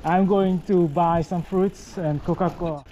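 A man's voice: high-pitched, fairly even intonation, a little slow, warm tone, highs cut off, slight background noise. A young man vlogging a cycling trip, chatting casually to an action camera on his handlebars and while walking through a supermarket, in plainspoken English. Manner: chatty, plainspoken, non-native English phrasing. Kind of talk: casual travel vlog with voice-over narration. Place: outdoors on a bike path and inside a supermarket. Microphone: action camera on the handlebars and handheld.

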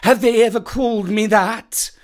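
An actor reading a storybook and voicing a wary, proud old male python with a slow, courteous, hissing delivery. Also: medium pitch, sing-song.

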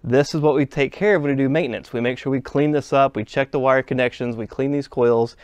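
A man's voice: mid-range voice, fairly even intonation, brisk, clear, resonant tone, no room sound. Man explaining hands-on in a dry room. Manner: plain, step-by-step explaining while handling parts. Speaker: HVAC instructor. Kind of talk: hands-on technical demonstration. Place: workshop bench.

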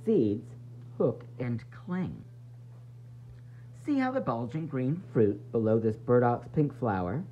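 Male children's read-aloud teacher, highly animated swoops, dramatic pauses, faint noise behind a homemade recording. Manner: expressive, with dramatic pauses and emphasis; addresses young listeners with questions and asides. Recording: dull, faintly noisy home recording.

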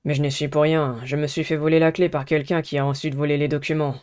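A woman puts on a stressed, earnest schoolboy from a dating game. The voice is dropped low, smooth and even, with a weary manner. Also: fast, lightly acted. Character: stressed, earnest teenage boy student delegate.

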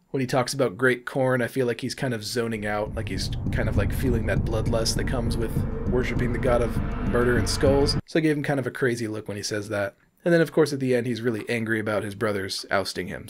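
A man giving informal, explanatory tutorial narration in an easygoing, loose way. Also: medium pitch. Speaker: tutorial presenter, animator.

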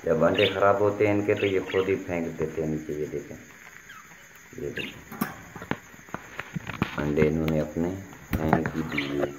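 Man, low voice, chatty vlog, coaxing his birds, outdoor yard, roomy phone audio, loud background noise. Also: little pitch movement, moderate pace, pauses often. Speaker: animal vlogger. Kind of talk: casual vlog narration to viewers. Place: outdoor yard by bird cages.

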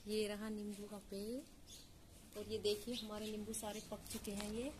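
A woman's voice: mid-range voice, little pitch movement, measured pace. A woman in her twenties chatting casually in a village vlog, showing things to the camera from a phone held at arm's length. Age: 20s. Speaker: vlogger. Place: outdoor village courtyard and rooftop. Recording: clear outdoor background noise.

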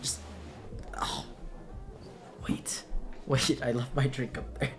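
A young man playing a caring best friend at a party in an ASMR roleplay, talking casually in a halting, rambling way. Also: medium pitch, level tone, a bit bright, faint music behind. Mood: relaxed, chatty. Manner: halting, rambling, breathy chuckles. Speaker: roleplay audio performer.

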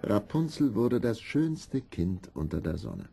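A man narrating a scripted fairy tale in a measured, expressive storytelling manner. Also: lowish voice, gravelly, music in the background.

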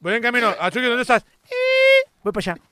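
A man's voice: very high-pitched, quick pace, sharp tone, slight background noise. A man in his twenties chatting casually with friends while streaming a game. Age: 20s. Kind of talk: casual gaming chat. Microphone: streaming setup with headset, indoors.

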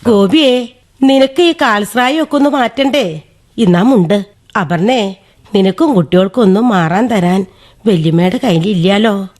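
A woman's voice: medium pitch, a little slow, darkish tone, close to an everyday voice. A woman playing a plain-spoken, chiding old village great-aunt in a radio drama, chatty and busy. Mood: brisk, busy. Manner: chatty, proverb-quoting, mildly reproachful.

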